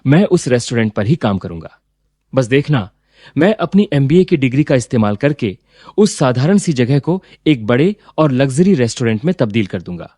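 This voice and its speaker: A man's voice: mid-range voice, warm tone, dry recording, studio quality. A man voicing an ambitious, impatient young graduate in a cartoon story, insistent and dismissive in manner. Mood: determined. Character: ambitious, impatient young business graduate, son of eatery owner. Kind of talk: Hindi cartoon story voice acting.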